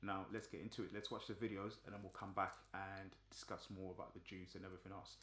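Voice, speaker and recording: A man from Zimbabwe with a low voice talks through a topic in a relaxed, chatty way. He often stops on "um" and repeats himself as he goes. The room gives his voice a clear echo. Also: fast. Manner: relaxed, many ums and restarts. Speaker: vlogger. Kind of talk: casual explanatory talk. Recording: echoey room.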